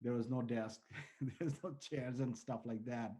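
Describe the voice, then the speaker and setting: A man's voice: medium pitch, steady tone, measured pace, dark tone. A man in his forties from Bangladesh, a startup founder answering interview questions in an earnest, rambling way. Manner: earnest, talks in long strings of restarts and fillers.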